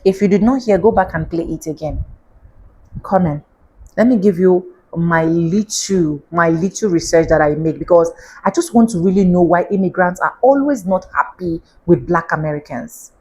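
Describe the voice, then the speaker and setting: A woman with an African accent and a somewhat low voice hosts a commentary show. She addresses her viewers directly in casual, conversational speech. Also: moderate pace, darkish tone, breathy edge.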